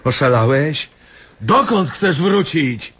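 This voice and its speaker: An actor playing a diabolical doctor who toys mockingly with his patient in a theatrical, drawn-out radio drama style. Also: fairly high pitch, lively intonation, a bit bright, husky, exaggerated voice. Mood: mocking disbelief.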